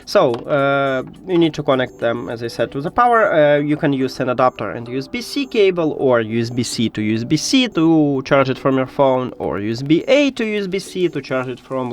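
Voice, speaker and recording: Guy doing a how-to tech tutorial, pitch goes up and down a lot, bit halting, faint music. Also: very animated. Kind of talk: step-by-step spoken tutorial.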